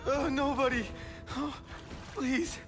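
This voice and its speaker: An actor playing a frightened civilian captive in a video game, stammering and pleading. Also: male voice, extremely high pitch, fairly even intonation, takes it slowly, music in the background. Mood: terrified.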